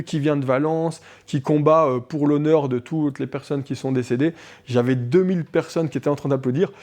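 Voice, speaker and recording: A man in his forties, raised in Switzerland, talks about running a martial arts dojo in a local TV interview. His voice is mellow and dark at a middle pitch, and he speaks with animated energy, often stopping to restart a sentence around fillers. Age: 40s.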